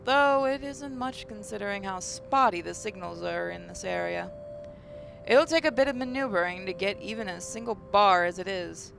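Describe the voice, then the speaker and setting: A woman's voice: medium pitch, a bit bright. A woman reading scripted first-person visual novel narration in a wry, theatrical storytelling manner.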